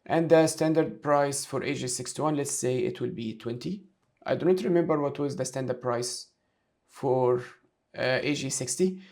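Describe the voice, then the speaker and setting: A man giving a step-by-step software tutorial, talking through each action aloud as an instructor. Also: mid-range voice, normal speed. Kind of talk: screen-share tutorial, explaining step by step.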